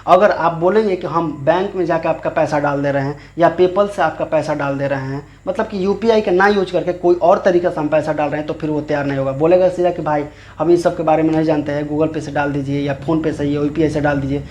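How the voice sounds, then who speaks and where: Higher-voiced man explaining. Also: steady tone, a little fast, hardly any pauses, a little mellow. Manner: informal, repetitive, rephrases often. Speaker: YouTube channel host. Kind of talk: explanatory scam-awareness tutorial.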